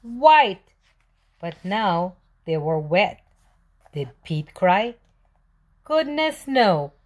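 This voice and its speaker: A woman's voice: medium pitch, big pitch swings, drawn-out words. A woman reading a storybook aloud for young children, expressively asking questions and answering them. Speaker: children's read-aloud presenter.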